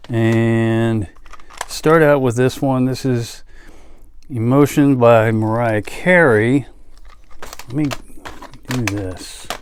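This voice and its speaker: A man chatting casually as a collector and vlogger, halting and trailing off into asides as he shows items. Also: medium pitch, darkish tone, slight background noise. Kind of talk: casual show-and-tell chat.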